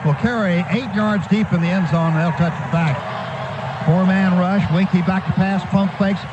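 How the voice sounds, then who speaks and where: Male play-by-play announcer, high voice, clipped running phrases, live football commentary, noisy stadium radio broadcast. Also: fairly even intonation, normal speed, lo-fi sound.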